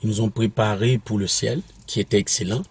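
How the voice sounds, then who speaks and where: A minister from Quebec, whose first language is English, answers interview questions in a halting way, with many restarts and fillers. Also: male voice, on the low side, steady tone, breathy edge, no room sound. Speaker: apostolic minister and author.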